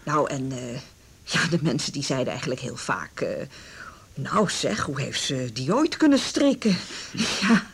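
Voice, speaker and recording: A woman's voice: very deep voice, lively intonation, a little slow, slightly rough, heavily acted. An actress playing a middle-aged village mother in a radio drama, gossipy and confiding, with a hesitant, rambling way of talking. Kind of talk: naturalistic radio drama dialogue.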